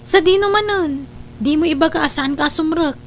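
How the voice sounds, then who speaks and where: A woman's voice: high voice, moderate pace, a little hiss or hum. A woman playing a dutiful, worried young student in a radio drama, earnest and reproachful. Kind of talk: Ilocano radio drama acting.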